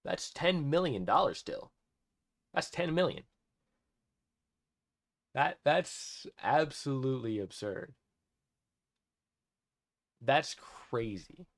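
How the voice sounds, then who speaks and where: An eighteen-year-old man ranting excitedly in a personal vlog, amazed and repeating himself, often restarting sentences. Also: mid-range voice, slow, pauses often, no room sound, clean studio sound. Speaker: vlogger.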